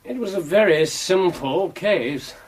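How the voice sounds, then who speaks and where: A man acting an aloof, languid Victorian gentleman detective with a clipped manner in a radio drama. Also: sits high, animated, slow pace, husky, full character voice, rough recording. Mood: dismissive.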